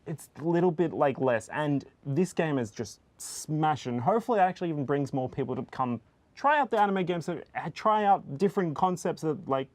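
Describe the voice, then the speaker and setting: A man chatting casually about video games as a show panelist, in a halting, enthusiastic way. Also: fairly high pitch, animated, no echo.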